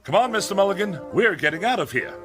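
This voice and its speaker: A man's voice: high voice, sing-song, fast talker, sharp tone, faint music behind, full character voice. Guy playing a pompous fussy tech oddball, formal wordy talk, really swoopy delivery, radio drama. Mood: self-important, urgent. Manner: formal, pedantic, theatrical. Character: eccentric, fussy, pedantic tech-minded man, pompous and evasive. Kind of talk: comedic radio drama acting.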